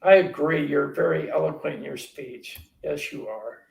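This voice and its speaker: Gravelly man, echoey and off-mic. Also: a little high, normal speed. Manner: chatty, informal. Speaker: host. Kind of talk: live online interview. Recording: roomy, distant from the mic.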